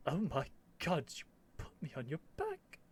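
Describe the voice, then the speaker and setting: A man playing an earnest, nervous young prince devoted to a dragon, in a halting, emotional ASMR roleplay addressed to the listener. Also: fairly high pitch, huge pitch swings, no room sound, exaggerated voice. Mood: giddy, overjoyed. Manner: halting, stammering, breathy sighs and whispers, emotional.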